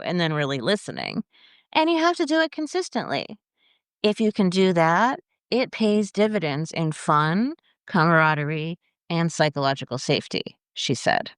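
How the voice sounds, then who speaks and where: Woman narrator, lowish lively voice, dry close-mic read of a feature article. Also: dry recording. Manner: measured, expressive read-aloud delivery. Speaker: editor reading her own article.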